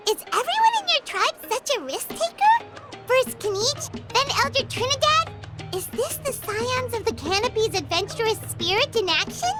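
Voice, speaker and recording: Super squeaky little fairy girl, bouncy and nosy, pitch jumping all over, game music behind. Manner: squeaky, excitable, refers to herself by name. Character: tiny chirpy female fairy companion, curious and excitable. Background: game music score.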